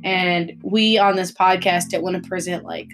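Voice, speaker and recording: A woman, co-hosting a faith podcast in casual chat, earnest and halting with many restarts. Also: fairly low pitch, steady tone, a bit bright, faint music behind, rough recording. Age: 20s. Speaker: podcast co-host.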